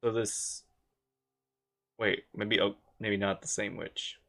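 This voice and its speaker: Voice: sits low, steady tone, slow, vocal fry, a bit bright. A game streamer giving casual live reactions, muttering short interjections and thinking aloud.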